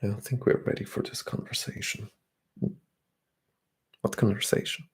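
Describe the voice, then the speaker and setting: A young man, chatting softly and often whispering on an ASMR livestream while reading out comments. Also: normal speed. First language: Bulgarian. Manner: soft-spoken, drifting into whispers. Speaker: ASMR streamer.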